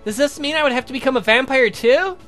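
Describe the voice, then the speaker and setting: A man's voice: very high-pitched, fast talker, fairly bright tone, soft background music, voice pushed up. A man voicing an astonished, genteel lady in a visual novel game, asking polite questions full of wonder. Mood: incredulous wonder. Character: astonished genteel young lady, the count's beloved.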